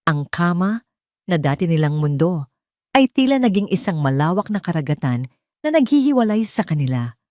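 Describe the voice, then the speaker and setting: A woman's voice: lowish voice, big pitch swings, normal speed, a little mellow, no echo, clean studio sound. A woman with a Filipino accent narrating a scripted, dramatic audio story.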